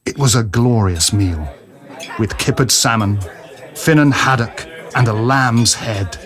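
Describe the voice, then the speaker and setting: A man's voice: medium pitch, a little slow, a bit bright. A man reading scripted story narration as a narrator in an audio drama.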